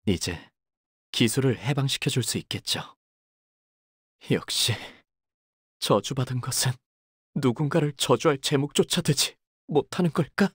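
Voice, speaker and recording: A male actor playing a solemn, polite young curse wielder in a video game, speaking in a measured, subdued, formal way. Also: medium pitch, husky, dry recording, studio quality. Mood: quiet, resigned. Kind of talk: video game character voice lines.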